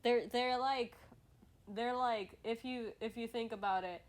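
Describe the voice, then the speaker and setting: A woman's voice: on the high side, steady tone, measured pace. A woman hosting a casual livestream chat, sniffly and stuffed up, rambling with lots of restarts and fillers. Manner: rambling, many restarts and fillers, laughs often. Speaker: streamer host.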